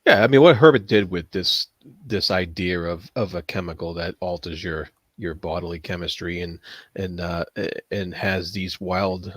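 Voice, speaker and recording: A man in a casual book discussion, speaking reflectively and haltingly as he searches for words. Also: deep voice, dry recording.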